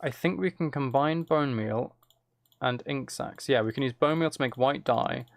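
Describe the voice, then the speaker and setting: A man's voice: mid-range voice, moderate pace, creaky. A man giving casual gameplay commentary, thinking aloud in a musing, hesitant way as he plans. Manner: musing, self-talking, hesitant planning. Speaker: gaming streamer. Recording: keyboard clicks and typing noise. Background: faint noise, computer keyboard and mouse clicks.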